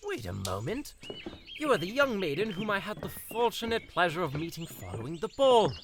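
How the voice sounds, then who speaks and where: A man's voice: high-pitched, wildly swooping pitch, moderate pace, background noise, heavily acted. A man playing a melodramatic, lovestruck, oblivious young prince with florid formal phrasing in a comedic audio drama. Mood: melodramatic longing.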